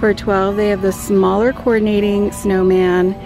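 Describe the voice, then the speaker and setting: A woman vlogger walking a store aisle with her phone, calmly listing decor items and their prices. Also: mid-range voice, moderate pace, music in the background. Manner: calm, listing prices item by item. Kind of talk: shop-with-me narration. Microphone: handheld phone camera.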